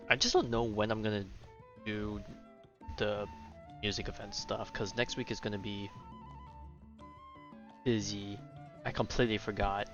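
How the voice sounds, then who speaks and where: A man's voice: lowish voice, steady tone, measured pace, pauses often, muffled recording, over music. A young man chatting casually as he streams a game, rambling and often interrupting himself. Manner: rambling, self-interrupting, informal. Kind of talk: casual livestream chat over gameplay.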